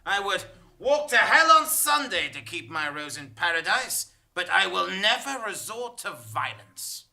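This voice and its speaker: A courtly frontier hero from a comic stage radio play, high and very bright in voice. He is grandiose and florid, with big swoops of pitch. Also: big pitch swings, measured pace, creaky, full character voice. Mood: noble, resolute. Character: courtly, florid, peace-loving hero of a Martian frontier western. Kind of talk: live comic audio drama.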